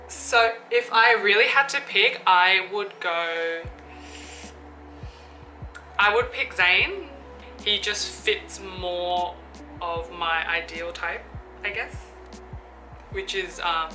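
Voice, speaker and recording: Young woman, unhurried chatty vlog talk, lowish voice, music underneath. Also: bright, forceful tone, low-quality recording. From Australia. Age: 20s. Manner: chatty, thinking aloud with fillers. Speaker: YouTuber. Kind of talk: casual Q&A vlog. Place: room with an open window. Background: background music.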